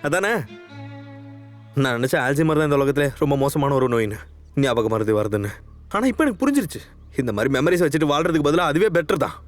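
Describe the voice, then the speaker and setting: An actor playing a nervous, defensively proud young man in love, rambling and halting, in a conversational short-film style. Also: on the high side, big pitch swings, soft background music. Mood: hurt, bitter. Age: young adult. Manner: halting, rambling, self-justifying.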